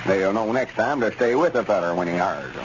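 Man as scheming backwoods storekeeper, rural Southern drawl, low pitch, 1930s radio comedy, faint noise. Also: a little fast, close to an everyday voice. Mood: smug. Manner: drawling, homespun backwoods talk. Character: folksy, scheming, self-assured country storekeeper man. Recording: muffled, noisy 1930s radio recording.

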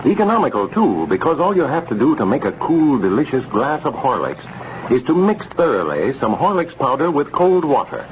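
Animated 1930s radio announcer, man. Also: mid-range voice, moderate pace. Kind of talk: 1930s radio advertising and scripted narration. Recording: muffled, noisy 1930s radio recording.

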